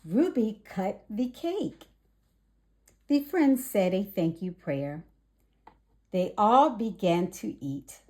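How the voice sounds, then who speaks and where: A woman reading a children's story aloud in a deliberate, expressive narrating style. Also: medium pitch. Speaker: storybook reader.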